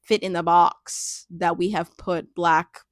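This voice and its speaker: A young adult woman speaking candidly in a reflective personal monologue, thoughtful and searching, with frequent "like" fillers and soft laughs. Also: lowish voice, fairly even intonation, slow, no room sound. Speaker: personal storyteller.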